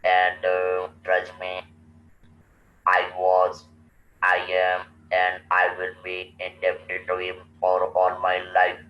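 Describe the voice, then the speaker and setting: Slow, flat, low man in his 60s. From India. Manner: slow, deliberate, halting with restarts. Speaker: guest. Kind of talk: slow personal storytelling. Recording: roomy, distant, muffled with faint noise.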